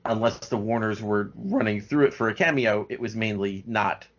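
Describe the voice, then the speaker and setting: A man's voice: lowish voice, moderate pace. A man appearing as a podcast guest, talking casually about cartoons in dull, lo-fi audio.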